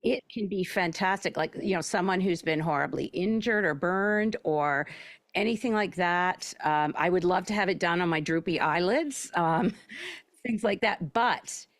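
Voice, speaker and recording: A woman's voice: on the low side, a little slow, no echo. A woman speaking casually and candidly, with a wry manner, as a panelist in a discussion. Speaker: panelist, audio drama creator.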